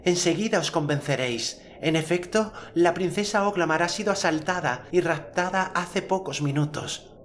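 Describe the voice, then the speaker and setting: A man's voice: on the high side, moderate pace, fairly bright tone, slight background noise, pitched above the performer's own voice, natural voice. An adult man voicing a young boy hero in an audiobook, speaking in a solemn, formal old-fashioned way. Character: earnest boy hero, commanding storyteller.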